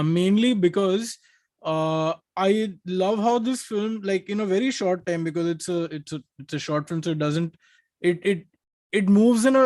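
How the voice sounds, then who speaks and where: A man with an Indian accent and a noticeably high voice talks casually about film as a podcast co-host. He is enthusiastic and rambling, repeating words while he thinks. The sound is very dry, with no room to it. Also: a little mellow, dry recording.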